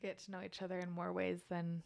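Woman in her thirties, slightly low voice, chatty, laughs a lot, casual podcast talk. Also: completely flat delivery, quick pace, creaky, a little mellow. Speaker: podcast guest. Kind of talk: casual chat.

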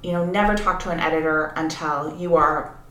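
A woman's voice: sits low, steady tone, brisk, pushed and bright. A woman co-hosting a casual podcast as an editor, explaining things in a thoughtful way. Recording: echoey room with background noise.

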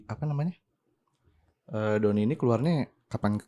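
A man's voice: fairly low pitch, moderate pace, warm tone. A man with a Jakarta Indonesian accent hosting a podcast in casual, slangy chat, jokey and prompting with questions. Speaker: podcast host.

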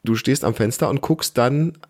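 A man around his thirties or forties hosting a casual family podcast, explaining things and gently prompting with questions. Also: mid-range voice, normal speed. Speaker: podcast host, father interviewing his child.

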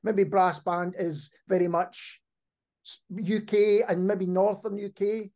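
Male podcast host, pretty high voice, chatty, thinking out loud as he goes. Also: level tone, slow pace. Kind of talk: casual interview chat.

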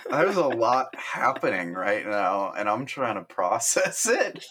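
A man's voice: mid-range voice, big pitch swings, slow pace, a bit bright, slight background noise. A man co-hosting a podcast in casual chat, self-mocking with absurd jokes and frequent laughter. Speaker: podcast co-host.

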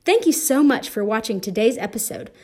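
A woman's voice: a little high, expressive, brisk, a little mellow, a little hiss or hum. A young woman reading scripted announcements and ad copy for an amateur radio drama. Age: teenager. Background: music.

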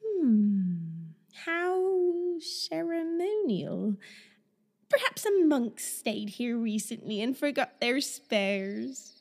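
A woman acts out a female raccoon in an audio drama, breezy and a little dismissive yet fond. Her voice sits high, swings freely up and down, and takes its time. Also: very animated, drawn-out words, darkish tone, slightly rough, exaggerated voice. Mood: curious, musing.

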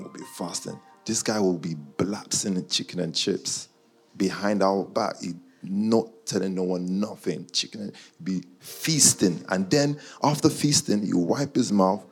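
A man's voice: deep voice, breathy edge. A man with a London accent chatting casually and slangily to a live audience, teasing his listeners as he goes. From Nigerian, childhood years in Guyana, schooled in the UK. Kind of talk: casual chat with a live audience and online viewers.

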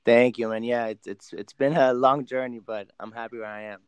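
A man in his late twenties, originally from Argentina with Spanish as his first language, talks casually as a podcast guest. His voice is smooth and clear, at an average pitch, and the sound is close and dry. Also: steady tone, brisk, no room sound. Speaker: professional soccer player, podcast guest. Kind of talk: casual interview storytelling.

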